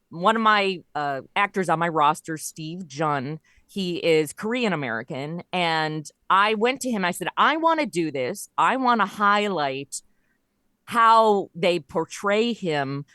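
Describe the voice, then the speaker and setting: A woman's voice: on the low side, animated, takes it slowly, pushed and bright, no echo, studio quality. A woman chatting casually as an interview guest, explaining her creative work in a talkative storytelling way. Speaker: interview guest, audio drama writer and producer.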